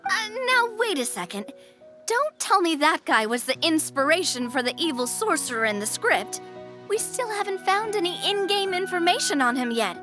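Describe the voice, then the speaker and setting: An earnest, friendly young heroine, high and bright with lively swings in pitch. She talks in a chatty, expressive video game style, with faint music underneath. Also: moderate pace, full character voice. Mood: flustered, chatty.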